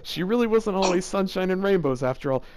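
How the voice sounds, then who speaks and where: A man's voice: sits high, animated, quick pace, a little mellow, smooth. A man reading fan fiction aloud as a YouTuber, breaking off into casual, exasperated and baffled commentary.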